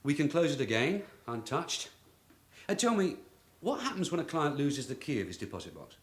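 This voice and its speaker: An actor playing a shrewd, hard-nosed long-serving police detective sergeant in a radio drama, matter-of-fact and dryly authoritative. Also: male voice, on the high side, sing-song, normal speed, breathy edge. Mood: firm, official. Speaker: actor in the lead role.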